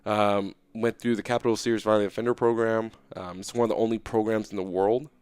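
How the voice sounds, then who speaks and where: Young guy on a podcast, smooth clear voice, dry close sound, chatty and animated. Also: lowish voice, a little fast, no room sound. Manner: animated, casual, frequent "like" and "right?". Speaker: interview guest. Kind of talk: casual storytelling interview.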